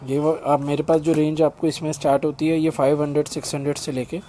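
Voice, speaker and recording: Brisk, polite middle-aged salesman. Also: flat delivery, dark tone, slight background noise. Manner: brisk, polite sales pitch addressing the customer as sir. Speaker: shop salesman. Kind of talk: product demonstration for a customer. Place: small indoor shop.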